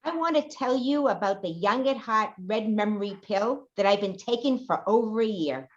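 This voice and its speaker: A woman performs an enthusiastic older customer selling a product in a spoof radio commercial. Her pitch is middling and the delivery is scripted testimonial, on slightly dull audio. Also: animated, moderate pace, close to an everyday voice. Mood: confiding.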